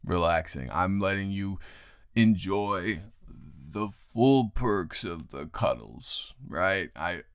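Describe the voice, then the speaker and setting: Very deep, gravelly man in intimate roleplay. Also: animated, dry recording, clean studio sound. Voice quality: low, gravelly. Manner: casual, halting, unscripted delivery. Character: grumpy, teasing, affectionate male roommate turned lover. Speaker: audio roleplay performer. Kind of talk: improvised intimate boyfriend roleplay, conversational.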